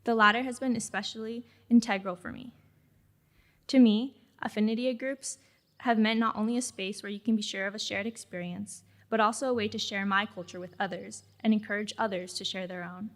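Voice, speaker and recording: A woman's voice: medium pitch, steady tone, fairly quick. Earnest teenage girl giving a speech. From Mexican-American family in California. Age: late teens. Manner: reflective, earnest, reading from a script. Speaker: graduating student speaker. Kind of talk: prepared ceremony speech.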